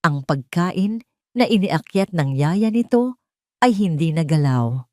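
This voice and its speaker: A woman's voice: sits low, expressive, slow, a little mellow, no echo, clean studio sound. A woman with a Filipino accent narrating a scripted dramatic story.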